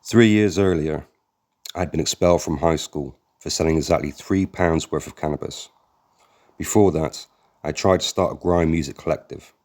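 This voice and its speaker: A man narrating an audiobook in an extremely deep, low voice. He reads a first-person memoir at a steady, measured pace, as if telling his own story.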